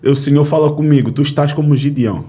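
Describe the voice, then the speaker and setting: A man in his thirties from Angola, a youth ministry leader, telling his faith story in an earnest, storytelling way with filler pauses in a podcast interview. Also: on the high side, warm tone, breathy edge. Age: 30s.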